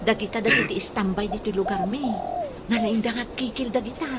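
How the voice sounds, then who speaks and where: A woman's voice: fairly high pitch, brisk, noisy background, lightly acted. An actress playing a chatty, exclamatory village woman in an Ilocano radio comedy drama. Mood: chatty, teasing. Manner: exclamatory, expressive.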